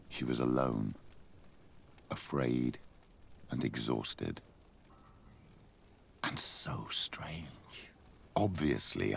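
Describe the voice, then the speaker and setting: A man's voice: a bass voice, heavily acted. An actor playing a sly, ambitious old clergyman burdened by guilt, speaking formally and theatrically in a video game. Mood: remorseful. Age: older. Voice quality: gravelly, husky. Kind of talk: video game cutscene acting.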